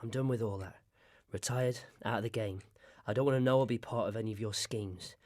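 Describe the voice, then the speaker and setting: A man acting in a radio drama as a gruff, sardonic, middle-aged retired criminal who is stubborn and dismissive. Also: fairly low pitch, fairly quick, a little mellow, lightly acted. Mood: weary, firm. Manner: curt, sardonic.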